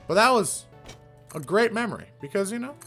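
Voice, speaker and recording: A young man streamer chatting casually, rambling with fillers and casual swearing, with a fairly deep voice. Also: animated, a little fast, bright, forceful tone, faint music behind. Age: young adult. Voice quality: somewhat deep voice. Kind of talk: casual live-stream chat.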